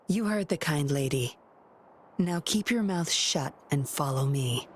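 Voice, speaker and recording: A woman playing a confident, commanding young woman in a video game, cool, measured and teasing. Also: deep voice, expressive, a little hiss or hum.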